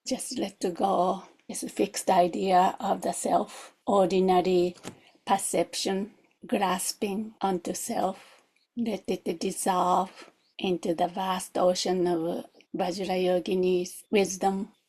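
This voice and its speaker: A woman's voice: mid-range voice, level tone, takes it slowly, a little mellow, slightly rough. A woman guides a meditation as a teacher, reflective and questioning, in short spaced phrases. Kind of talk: guided meditation, gentle instruction.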